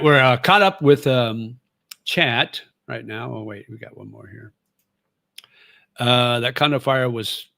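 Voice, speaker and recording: A man's voice: mid-range voice, vocal fry, pushed and bright, dry recording. A middle-aged man and former radio host, chatting casually on his livestream and reading viewer comments in a rambling, easygoing way with plenty of fillers.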